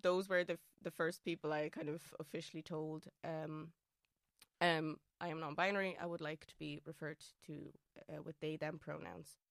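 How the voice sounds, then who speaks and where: Low-voiced Austrian guest, smooth and halting. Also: female voice, level tone, dry recording, clean studio sound. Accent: Austrian German. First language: German. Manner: thoughtful, halting, many fillers and restarts. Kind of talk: casual interview chat.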